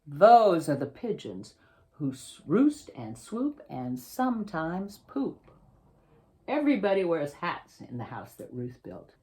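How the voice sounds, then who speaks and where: A woman's voice: on the low side, sing-song, takes it slowly, dark tone. A woman author reading a picture book aloud, expressively, and explaining details directly to young listeners.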